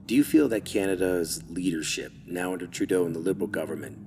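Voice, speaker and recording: Very deep-voiced male interviewer. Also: fast, gravelly, background noise. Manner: leading questions, short affirmations. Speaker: host. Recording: long reverb hall.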